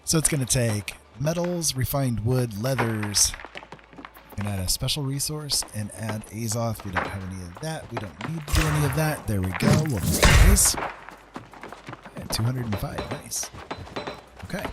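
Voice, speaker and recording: A man's voice: mid-range voice, expressive, moderate pace, over loud music. A man giving casual live gameplay commentary, half talking to himself as he plays. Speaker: game streamer.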